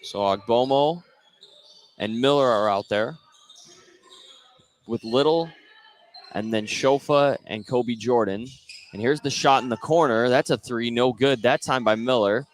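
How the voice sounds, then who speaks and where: A man's voice: mid-range voice, a little slow, pauses often, a little hiss or hum. A man doing live play-by-play radio commentary of a basketball game. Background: indoor basketball game, bouncing ball.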